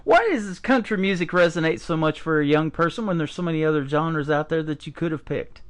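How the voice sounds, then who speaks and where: A man's voice: on the high side, fairly quick. An older man hosting a radio interview, friendly and folksy with plenty of filler "uh"s. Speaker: radio host.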